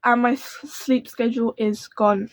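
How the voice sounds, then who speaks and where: A young woman who speaks in a soft, quiet voice, chatting to camera about books in a rambling, gushing way. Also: fairly high pitch, steady tone, a little slow. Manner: rambling and gushing, full of fillers. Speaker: book vlogger. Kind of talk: casual book chat to camera.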